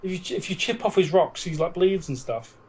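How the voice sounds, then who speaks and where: A man's voice: high-pitched, expressive, brisk, a little mellow, husky. A man co-hosting a podcast with casual, joking, argumentative banter about films and plenty of swearing. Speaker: podcast co-host.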